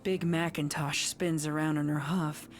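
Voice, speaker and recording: Female horror narrator, low register, unhurried and suspenseful dramatic read, music underneath. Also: level tone. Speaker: story narrator.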